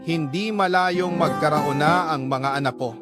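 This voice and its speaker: Man as a lovestruck Filipino narrator, higher-pitched. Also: measured pace, lightly acted. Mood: reflective, tender. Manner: reflective, sentimental. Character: lovestruck single father narrating his hopeful inner thoughts. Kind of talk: sentimental scripted first-person narration in radio drama. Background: instrumental music.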